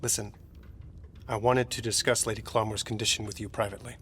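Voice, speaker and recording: An actor playing a grave, courteous physician to a noble family in a period audio drama, clinical, polite and firm. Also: male voice, fairly low pitch, level tone, a little fast, a bit bright, a little hiss or hum, lightly acted. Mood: grave, insistent.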